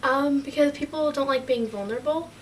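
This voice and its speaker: An eighteen-year-old woman answers interview questions quickly and frankly, her voice bright and a bit high. She sits back from the mic, with faint room tone behind her. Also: distant mic, a little hiss or hum. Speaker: interviewee, college freshman.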